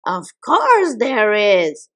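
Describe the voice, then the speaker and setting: A knowing old woman with magic spells in a storybook, firm and matter-of-fact in her scolding, as a woman reader puts her on. She speaks slowly, with a lively, swooping lilt. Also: mid-range voice, voice pushed down, full character voice. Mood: stern.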